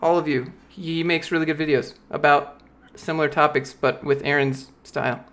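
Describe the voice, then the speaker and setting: A young man in his twenties hosts a loose livestream chat over desktop voice chat. His voice sits a little high for a man, and he teases his guests in an easygoing, playful way. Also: moderate pace. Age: 20s. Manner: relaxed, joking, playfully insistent. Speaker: livestream host.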